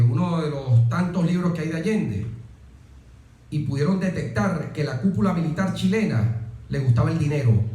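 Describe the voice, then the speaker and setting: A man with a Venezuelan accent discussing politics earnestly as a guest, emphatic and deliberate, building rhetorical series by repeating phrases. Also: a little high, darkish tone. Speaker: interview guest, political commentator. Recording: roomy, echoing sound.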